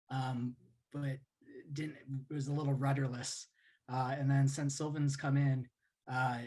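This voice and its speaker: Flat-voiced man introducing a guest. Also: medium pitch. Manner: halting, many fillers and restarts. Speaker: host. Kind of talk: casual introduction.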